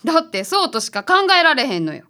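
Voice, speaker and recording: A woman acting as a bright, assertive confectioner of about thirty in a radio drama, with a Kansai accent. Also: high-pitched, sing-song, fast talker, exaggerated voice. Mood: accusing.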